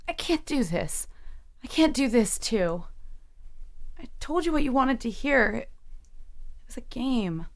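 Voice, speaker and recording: Troubled young woman, creaky, slow, hesitant, guarded, audio drama. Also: high-pitched, sing-song, exaggerated voice. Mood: weary, defensive. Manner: hushed, hesitant.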